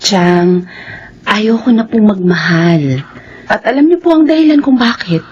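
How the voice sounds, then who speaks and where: An actress playing a young woman who has returned from working abroad, narrating quietly and speaking politely to her aunt. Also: mid-range voice, lively intonation, a little slow, a little hiss or hum, natural voice. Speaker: radio drama actress. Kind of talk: radio drama acting. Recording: dull lo-fi sound with music.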